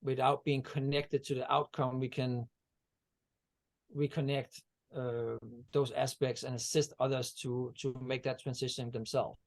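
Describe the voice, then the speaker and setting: A man's voice: medium pitch, little pitch movement, a little slow. A man from Denmark, a guest on a video-call interview, talking about energy healing in a meandering way with many fillers and restarts. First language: Danish.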